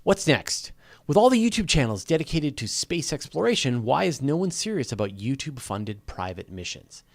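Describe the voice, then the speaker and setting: A man's voice: medium pitch, sing-song, normal speed, creaky, dry recording. A man hosting a live question-and-answer stream, explaining things conversationally and thinking aloud as he stresses his key points. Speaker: host of a live space and astronomy Q&A.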